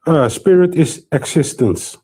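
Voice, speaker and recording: A man's voice: on the high side, expressive, a little slow, dark tone, slightly rough. A man in his forties with a Guyanese accent, a native Creole speaker, debating casually and searching for words. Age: 40s. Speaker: guest debater.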